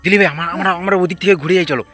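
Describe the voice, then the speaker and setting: A man's voice: sits high, fairly even intonation, very fast, fairly bright tone, faint music behind. A man accompanying a vlogger outdoors in the woods at night, anxious and urgent, repeating his warnings. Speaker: vlogger's companion.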